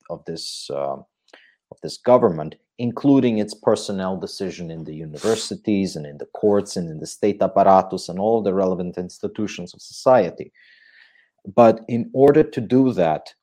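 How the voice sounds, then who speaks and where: A man's voice: fairly low pitch, dark tone. A man hosting a political interview, speaking in a thoughtful, wordy way that often corrects itself mid-sentence. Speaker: podcast host.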